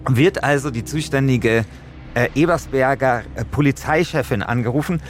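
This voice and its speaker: A man's voice: mid-range voice, normal speed. A man co-hosting a podcast, explaining things in a casual, colloquial and rambling way. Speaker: podcast co-host. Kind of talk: casual, unscripted chat and explaining. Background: faint music.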